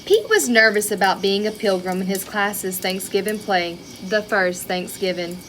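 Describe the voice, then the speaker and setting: A woman reading a picture book aloud to young children in an expressive way, with chatty asides and questions to her listeners. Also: mid-range voice, moderate pace, slight background noise. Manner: expressive, child-directed, with rhetorical questions and exclamations.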